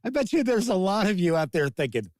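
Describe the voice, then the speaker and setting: A man's voice: high voice, very animated, brisk, a little mellow, no room sound, clean studio sound. A man with a Chicago accent hosting a casual livestream of tabletop gaming news, chatty and jokey as he talks with his chat. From Chicago, USA. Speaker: host.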